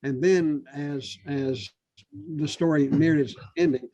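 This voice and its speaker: A man giving spoken feedback on a manuscript to a writers' panel, halting and full of fillers and restarts. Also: a little high, steady tone, mellow, no room sound, lo-fi sound. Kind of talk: casual spoken critique.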